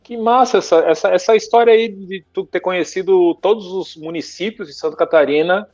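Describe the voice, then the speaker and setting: A man hosting a casual interview show, lively, his voice jumping up when he puts his questions. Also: fairly quick, no echo, soft background music. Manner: lively, pitch jumps up when asking questions. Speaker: talk show host. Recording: dry, dull lo-fi sound.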